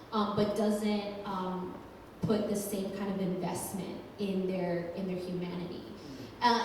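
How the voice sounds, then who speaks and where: A woman's voice: mid-range voice, slight background noise. A woman from Colombia, an executive director, talking thoughtfully to a live audience with many ums and restarts. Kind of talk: panel talk to a live audience. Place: large hall with audience. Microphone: handheld microphone. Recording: echoey hall from far off.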